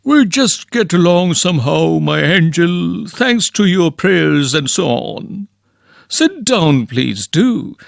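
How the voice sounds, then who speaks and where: Man voicing a gushing old landowner, slow, gravelly, swooping pitch. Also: very animated, no room sound, studio quality, exaggerated voice. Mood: effusive. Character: fussy effusive old male landowner. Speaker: reader of a play part. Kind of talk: amateur play reading.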